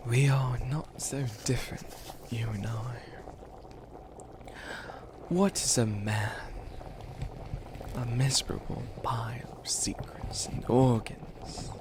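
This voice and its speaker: A man's voice: mid-range voice, fairly even intonation, takes it slowly, pauses often, natural voice. A man playing an evil witch who rehearses grandiose villain monologues with pompous menace, in an ASMR roleplay. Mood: brooding menace. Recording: clear background ambience noise.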